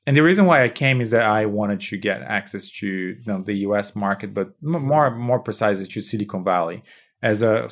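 A man in his thirties from Brazil with a Brazilian Portuguese accent, explaining at length in a podcast interview, with frequent restarts. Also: on the low side. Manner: explanatory, many restarts and "you know". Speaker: startup founder and CEO.

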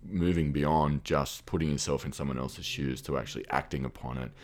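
A middle-aged man with an Australian accent and an extremely deep, low voice. He talks in a thoughtful, hesitant way, pausing on ums and restarting his sentences as he works through his ideas in a relaxed podcast conversation. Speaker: podcast guest. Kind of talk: reflective conversational talk.

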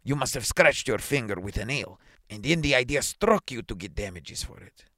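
A man's voice: medium pitch, expressive, normal speed, pushed and bright, no echo. A man acts out a stern, officious police chief from a classic short story. He blusters and barks his orders and questions with pompous authority, in a dry, close recording. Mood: accusing. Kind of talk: dramatised short story reading.

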